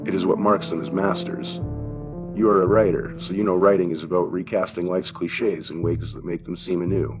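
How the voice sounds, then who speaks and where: A man's voice: sits low, fairly even intonation, normal speed, music in the background, natural voice. A man voicing a kindly, wry old German shopkeeper in an audiobook story, with a thin accented voice and avuncular questions. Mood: wry, encouraging. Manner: avuncular, chuckling, rhetorical questions.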